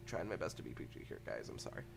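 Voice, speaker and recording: A man's voice: a bass voice, very animated, rapid-fire, creaky, music in the background. A man improvising a comedic fandub, stammering and rambling as he ad-libs. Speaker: solo fan-dub performer improvising all voices. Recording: faint background noise.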